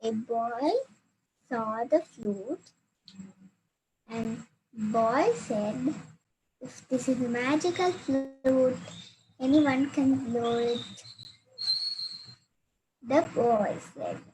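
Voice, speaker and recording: Brief: girl with an Indian English accent, slightly high voice, very slow storytelling, faint background noise. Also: warm tone, low-quality recording. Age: child. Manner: very slow, simple sentences, repetitive. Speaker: child storyteller. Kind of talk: telling a folk tale from memory.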